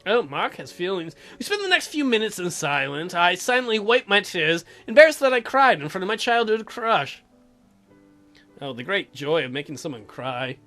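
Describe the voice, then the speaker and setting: A man's voice: sits high, very animated, normal speed, a bit bright, soft background music. A man hosting a let's play, mixing sarcastic, mocking asides with read-aloud narration of a visual novel.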